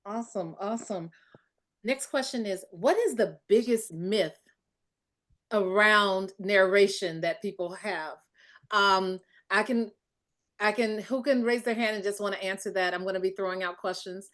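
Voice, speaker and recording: A woman moderating a panel, brisk and quick to hand over, often saying "indeed". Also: mid-range voice, fairly bright tone.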